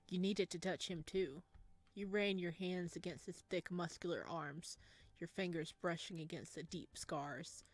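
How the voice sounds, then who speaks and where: A woman's voice: lowish voice, no echo. Woman reading a horror game aloud. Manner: animated, laughing asides between hushed narration. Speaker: game streamer. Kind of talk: let's play, reading a visual novel aloud with commentary.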